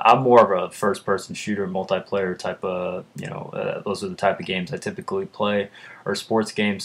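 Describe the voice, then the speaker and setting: A young man with a deep voice, chatting casually in a vlog and rambling with lots of fillers. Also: a bit bright. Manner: rambling, lots of uhs and ums. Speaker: vlogger. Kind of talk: casual chat.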